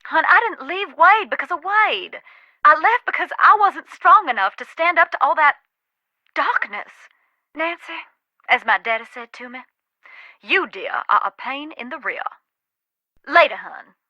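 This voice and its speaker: A haunted, wry woman from a video game, with an American Southern accent. Her voice is fairly high and very bright, recorded close and dry. She talks in a folksy, dramatic way, teasing yet knowing about the dead. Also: sing-song, unhurried, pauses often, dry recording, dull audio, heavily acted. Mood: regretful, wry.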